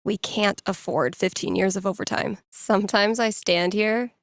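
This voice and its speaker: An actress playing a wry, nerdy young record-store clerk and radio DJ in a video game, muttering to herself in a dry, sardonic, conversational way. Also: female voice, mid-range voice, fairly even intonation, moderate pace, no echo, close to an everyday voice. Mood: wry musing. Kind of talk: naturalistic video game voice acting.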